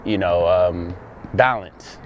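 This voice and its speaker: A man's voice: low-pitched, takes it slowly, highs cut off, slight background noise. A man of thirty from Texas, a guest talking reflectively in a casual conversation, often restarting his sentences. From Dallas, Texas. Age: 30s.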